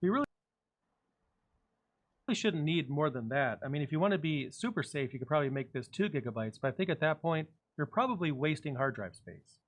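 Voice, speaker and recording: A man narrating a software tutorial, calmly giving step-by-step instructions. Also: fairly high pitch, a little fast.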